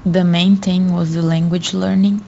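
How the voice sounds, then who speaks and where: Gravelly young woman, dull audio. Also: on the low side, little pitch movement, moderate pace, mellow. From Brazil. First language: Portuguese. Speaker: guest student. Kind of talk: answering interview questions. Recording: dull lo-fi audio, faint noise.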